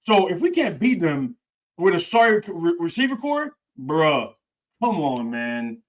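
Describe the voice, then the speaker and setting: A man co-hosting a casual podcast, talking sports and debate in an animated way and saying "bro" a lot. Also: a little high, unhurried. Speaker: podcast co-host.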